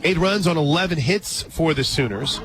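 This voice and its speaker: Male sports radio play-by-play voice, fairly high, clipped calls and casual asides, over loud crowd noise. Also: expressive, normal speed. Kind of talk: live softball radio commentary. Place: radio booth at an outdoor softball stadium. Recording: loud crowd and music behind. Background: loud ballpark crowd and music.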